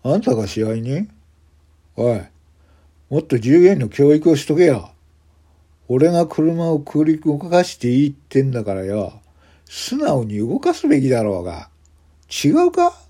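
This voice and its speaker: A man voicing a menacing, foul-mouthed gangster hotel guest, domineering and curt, with rough slangy speech. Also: a little high, sing-song, a little slow, pauses often, pitched above the performer's own voice, exaggerated voice. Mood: indignant. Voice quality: loud, rough shouting when angered.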